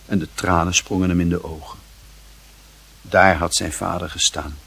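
A man narrating a radio play in a literary style, with measured, dramatic phrasing. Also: low-pitched, steady tone, gravelly, fairly bright tone, breathy edge.

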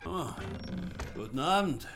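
A man's voice: fairly high pitch, animated, unhurried, gravelly, loud music behind the voice. An actor playing a wary, flustered male hotel clerk in a radio drama, hesitant and suspicious. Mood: wary, nervous.

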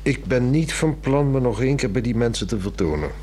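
A man's voice: medium pitch, expressive, brisk, mellow, a little hiss or hum, close to an everyday voice. Blunt, stubborn husband played by a man. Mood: sullen, stubborn. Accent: Netherlands Dutch. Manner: blunt, curt, disdainful. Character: stubborn, sullen, wounded husband. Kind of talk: 1960s radio drama acting.

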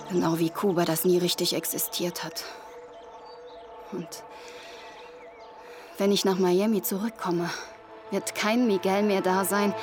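An actress playing a disillusioned, melancholy widow back from exile in a German radio crime drama, speaking wistfully. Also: female voice, mid-range voice, lightly acted.

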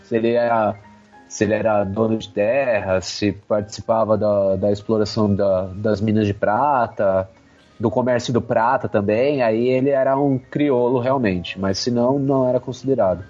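A man's voice: fairly low pitch, fairly even intonation, moderate pace, a little mellow, faint music behind. Brazilian man explaining, dull lo-fi sound. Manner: explanatory, informative. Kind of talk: casual conversational chat on history.

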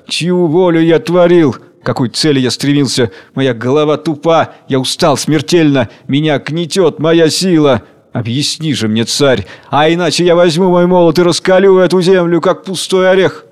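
A man's voice: normal speed, few pauses, darkish tone, smooth, close to an everyday voice. A man acting a weary, menacing old worker with a dry, strong voice in a dramatic reading. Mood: threatening. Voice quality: dry, strong, deep-drawn voice.

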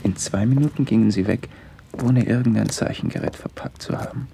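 An actor playing a brooding, wry writer who muses in halting fragments in a radio play monologue. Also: male voice, on the low side. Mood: wry, musing. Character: brooding wandering writer, a man, wry and melancholy. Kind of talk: first-person literary radio play monologue. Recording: clearly noisy ambient radio recording.

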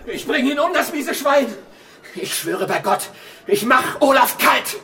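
A desperate man in a horror radio play, enraged and panicked. His voice swings widely in pitch as he speaks with raw agitation. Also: very animated, a little slow, heavy background noise, full character voice. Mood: furious, desperate. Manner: emotional, agitated. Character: enraged, panicked male survivor forced to dive wrecks. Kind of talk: German horror radio drama acting.

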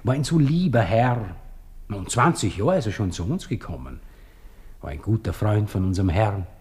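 An actor playing a deferential, gossipy Viennese coffeehouse waiter with an Austrian accent in a radio drama. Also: male voice, lowish voice, expressive, normal speed, darkish tone, breathy edge. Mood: gossipy regret. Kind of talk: 1960s radio drama dialogue.

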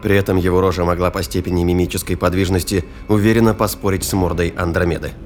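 A man reading scripted fiction narration in a measured, literary storytelling manner. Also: low-pitched, little pitch movement, darkish tone, soft background music.

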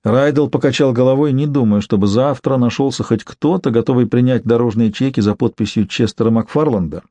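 Mellow male audiobook narrator. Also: medium pitch, fairly quick, no room sound. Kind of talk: scripted literary narration.

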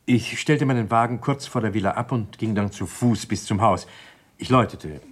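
A man playing a troubled doctor and evasive suspect in a German crime radio drama, speaking in a hesitant, guarded way with a husky voice. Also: on the low side, moderate pace, close to an everyday voice. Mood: subdued, recounting.

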